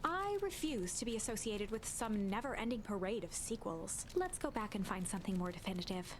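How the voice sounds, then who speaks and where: A woman's voice: mid-range voice, lively intonation, a little fast, noisy background, close to an everyday voice. A woman playing a wry, opinionated narrator in a video game, reading the story in a dramatic storybook style. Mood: indignant. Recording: music playing behind the voice. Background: game music.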